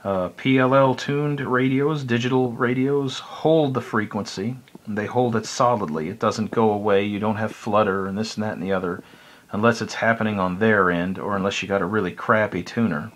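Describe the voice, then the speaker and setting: Low-voiced man rambling through a hobby explainer. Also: fairly even intonation, normal speed. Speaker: hobbyist video presenter. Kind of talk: casual unscripted hobby explainer.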